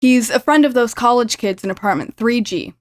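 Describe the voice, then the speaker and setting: A woman's voice: on the high side, brisk, close to an everyday voice. An actress playing a chatty, sardonic woman in her late twenties in an audio drama. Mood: wry, gossipy. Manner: wry, chatty.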